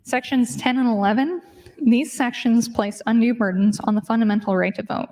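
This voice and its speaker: A woman's voice: medium pitch, a little mellow. A woman state legislator giving a speech in floor debate in a legislative chamber. She argues her point, moving between statute readings and casual asides.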